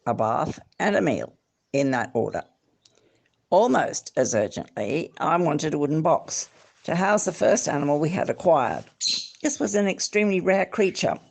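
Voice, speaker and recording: Audiobook reader, creaky voice with lively swoops, theatrical and deliberate, recorded at home with faint noise. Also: mid-range voice. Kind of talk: expressive literary narration. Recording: faint background noise, clicks.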